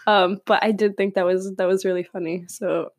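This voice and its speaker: A woman's voice: medium pitch, level tone, moderate pace, dry recording. Chatty young woman podcaster. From California. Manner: chatty, rambling, laughs often, many fillers. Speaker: podcast host. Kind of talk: casual solo podcast chat. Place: room at home with windows open.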